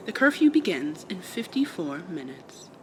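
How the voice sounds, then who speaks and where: A woman voicing a formal train announcer in an audio roleplay, measured and impersonal. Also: lowish voice, big pitch swings, heavy background noise. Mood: calm, official. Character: formal train announcer reciting terminal notices. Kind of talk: scripted public announcement in roleplay.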